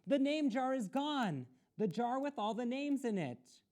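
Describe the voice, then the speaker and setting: An eager young schoolboy from a children's storybook, acted out by a woman. The voice is raised and animated, earnest in every line. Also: high voice, a little slow, pitched above the performer's own voice. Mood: alarmed.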